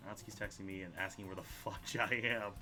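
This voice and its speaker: A man hosting a video game playthrough, reading dialogue aloud in an animated way, stumbling over words and adding his own side comments. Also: sits low, quick pace, music in the background. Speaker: let's play host.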